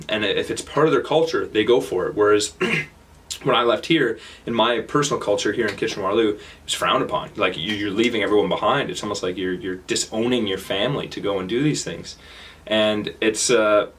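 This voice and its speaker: A young Canadian man with a Canadian accent giving a casual stage talk, halting with fillers and restarts. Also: fairly low pitch, fairly bright tone. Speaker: speaker. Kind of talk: public talk.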